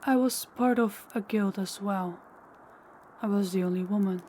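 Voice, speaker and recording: A woman playing an ancient, powerful female vampire in an intimate audio roleplay, speaking in a deliberate, teasing and menacing way. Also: mid-range voice, mellow, a little hiss or hum, lightly acted. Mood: wistful, proud. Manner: slow, deliberate, taunting, dropping into whispers.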